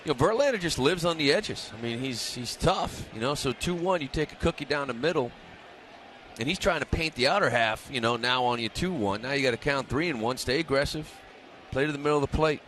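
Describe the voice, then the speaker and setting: A man's voice: medium pitch, fairly quick, a little hiss or hum. Male baseball analyst chatting over stadium ambience. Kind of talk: conversational live sports analysis. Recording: steady stadium ambience behind live broadcast. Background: ballpark ambience.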